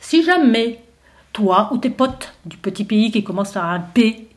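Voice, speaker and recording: A woman's voice: a little high, expressive, unhurried, background noise. A woman voicing an arrogant, bullying American envoy who lectures in a self-assured, emphatic way. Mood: threatening.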